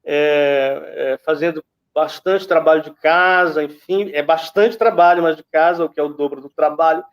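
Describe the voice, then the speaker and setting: A Brazilian man comments as a guest critic in a fairly high voice for a man. He is reflective and hesitant, feeling out his words in conversation. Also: moderate pace, clean tone, lo-fi sound.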